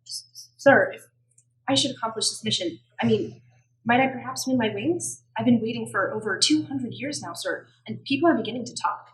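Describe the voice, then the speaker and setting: A woman's voice: on the high side, normal speed, natural voice, low-quality recording. A woman playing a naive, eager apprentice angel in a radio play. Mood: eager, flustered. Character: naive eager angel, two centuries old, still wingless.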